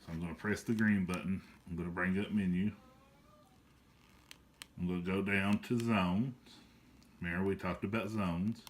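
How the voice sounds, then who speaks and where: A man's voice: sits low, fairly even intonation, moderate pace, pauses often. A man hosting an amateur radio tutorial in a casual, unscripted way.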